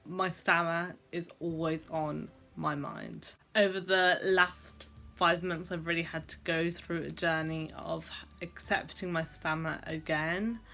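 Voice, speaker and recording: Young woman vlogging, stammers on words, talks slow and kinda low, lots of ums. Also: creaky, bright, forceful tone, faint music behind. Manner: stammers, with repeated sounds and blocks; reflective, many fillers. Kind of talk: personal vlog talk to camera.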